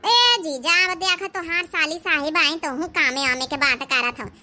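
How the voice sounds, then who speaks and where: A woman's voice: high voice, normal speed, sharp tone, soft background music. A woman with an Awadhi accent performing comedy cartoon dialogue in a chattering, complaining village-gossip manner.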